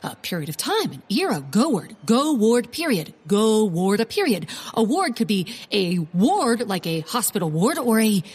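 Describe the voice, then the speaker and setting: A woman playing a brisk, dry-witted amateur sleuth in a comedic audio drama, inquisitive in manner. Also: medium pitch, big pitch swings, darkish tone, breathy edge, in a large hall. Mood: excited deduction.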